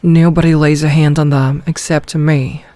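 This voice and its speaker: A woman playing a possessive, commanding female werewolf pack leader in an ASMR roleplay, speaking slowly and with authority. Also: deep voice, flat delivery, mellow, no room sound, close to an everyday voice. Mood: possessive, proud.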